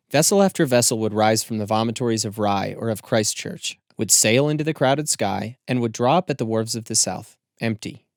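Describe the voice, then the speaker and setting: A man reading scripted literary narration as an audiobook narrator, with measured, deliberate phrasing. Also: mid-range voice, dry recording, clean studio sound.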